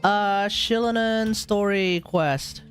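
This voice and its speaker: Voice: medium pitch, takes it slowly, clear, resonant tone. A streamer giving casual, rambling gameplay commentary on a livestream, thinking aloud while playing. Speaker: video game streamer. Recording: voice under loud game music. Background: loud video game music.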